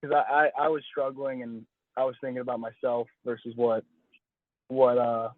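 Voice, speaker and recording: A man's voice: mid-range voice, level tone, normal speed, no echo. Young man on a dull phone line. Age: young adult. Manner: hedging, self-correcting, restating phrases. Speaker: college baseball pitcher being interviewed. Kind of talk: casual phone interview. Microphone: phone call. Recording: dull, lo-fi phone call audio.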